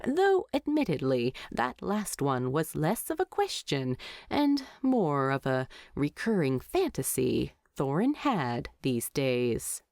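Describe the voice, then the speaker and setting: A woman reads a story as narrator with dramatic swings in pitch. Her pace is slow and measured, and the recording is close and dry. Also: darkish tone, no room sound. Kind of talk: expressive scripted story narration.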